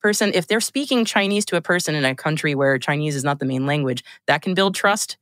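A woman's voice: low-pitched, animated, quick pace, a bit bright, no echo, studio quality. A woman guest on a podcast, chatting casually, laughing often and restarting her sentences. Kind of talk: casual chat.

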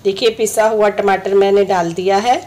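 A woman with a slightly hoarse throat, a home cooking host, calmly explaining each step of a recipe in her kitchen. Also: medium pitch, normal speed. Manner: instructive, friendly, repeats steps.

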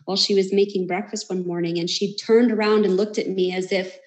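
A woman's voice: mid-range voice, flat delivery, a little fast. An American woman hosting a casual online workshop discussion, chatty and encouraging, over a roomy video call. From United States. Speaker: workshop host.

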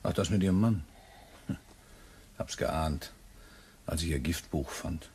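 Deep-voiced, shrewd blackmailer. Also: male voice, unhurried, a little mellow, slight background noise. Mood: sly, guarded. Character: shrewd, cool male blackmailer. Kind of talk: German crime radio play acting.

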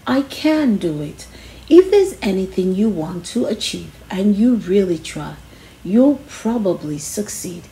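Slow-paced woman reading to children, lively pitch. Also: poor audio. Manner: instructive, emphatic on key phrases. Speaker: children's book reader. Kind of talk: read-aloud of a children's self-help book.

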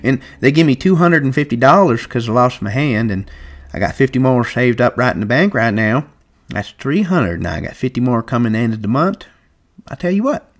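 A male reader puts on an old ranch swamper, pitched well up high. He is humble, eager and hopeful, talking in rustic American speech as in a storybook. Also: lively intonation, moderate pace.

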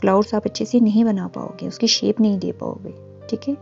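Gravelly, mellow-voiced woman teaching painting. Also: medium pitch, highs cut off, faint music behind. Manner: calm and instructive, with frequent pauses and fillers. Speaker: art teacher. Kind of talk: step-by-step painting tutorial voice-over. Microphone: voice-over, camera on hands and canvas.

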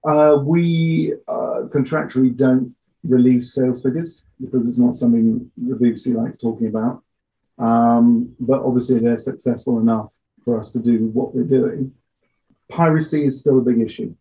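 A middle-aged man giving measured, matter-of-fact interview answers with hesitations over a video call from a small office. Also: medium pitch, steady tone, dark tone. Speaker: audio drama producer being interviewed. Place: small home office, indoors. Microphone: computer mic on video call. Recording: dull, lo-fi video-call audio.

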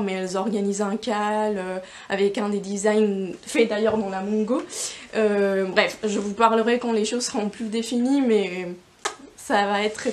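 Young Spanish-born woman chatting casually. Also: mid-range voice. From Spain. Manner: rambling, many fillers and restarts, gesturing with hands. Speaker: knitting podcast host. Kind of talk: casual video podcast chat. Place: yarn shop in front of shelves.

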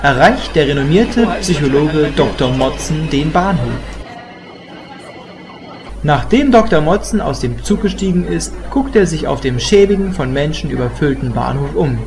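A man's voice: on the high side, expressive, moderate pace, music in the background. A man narrating a scripted comedy audio drama as its storyteller. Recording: noticeable background noise.

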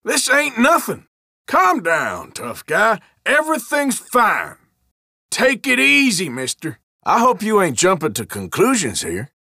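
An actor playing an irritable, wary Old West outlaw in a video game, with a rural American drawl and a folksy, sardonic, defensive manner. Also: male voice, sits high, huge pitch swings, a little slow, gravelly, pushed and bright, dry recording, clean studio sound, heavily acted. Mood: placating, then guarded, evasive. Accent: American, rural Western drawl. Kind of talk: game voice lines, short barks.

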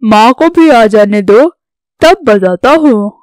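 A woman's voice: a little high, expressive, slow pace, a little mellow, dry recording. A woman voicing an earnest, patriotic young man in a cartoon story, speaking in declarations. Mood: eager. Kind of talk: scripted Hindi cartoon story dialogue.

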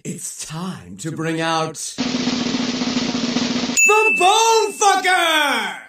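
A woman's voice: a little high, huge pitch swings, extremely slow, full character voice. A woman voicing a flamboyant, lewd robot TV showman with a bombastic, theatrical manner in a fan comic dub. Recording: distant, echoey, loud music over it.

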